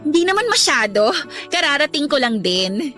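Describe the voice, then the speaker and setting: A woman's voice: sits high, big pitch swings, moderate pace, a bit bright, soft background music. A young woman voicing a shy, naive heroine in a radio love story, earnest and easily flustered. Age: young adult. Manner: earnest, flustered, then indignant. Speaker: heroine Abby. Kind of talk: radio drama acting.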